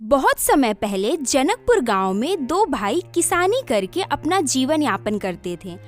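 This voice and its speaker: A woman's voice: high voice, big pitch swings, a little slow, faint music behind. A woman reading a scripted story as narrator.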